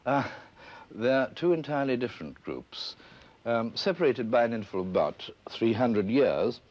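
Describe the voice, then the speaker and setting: A middle-aged English man, a scholar being interviewed, explaining in a halting, hesitant but precise manner. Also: medium pitch, big pitch swings, darkish tone, dry recording. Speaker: academic anthropologist being interviewed. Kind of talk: unscripted expert explanation. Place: stone church crypt. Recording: dull, band-limited archive film sound.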